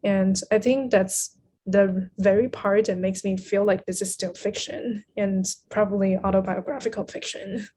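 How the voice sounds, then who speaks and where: Mellow, dark-toned woman discussing books. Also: mid-range voice, steady tone, moderate pace, slight background noise. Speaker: podcast guest. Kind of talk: reflective book discussion.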